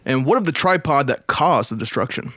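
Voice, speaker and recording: A man's voice: mid-range voice, lively intonation, a little fast, a bit bright, no room sound, lightly acted. A man playing a grave news announcer in an old-style radio drama, reporting an invasion in formal broadcast style. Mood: composed, formal.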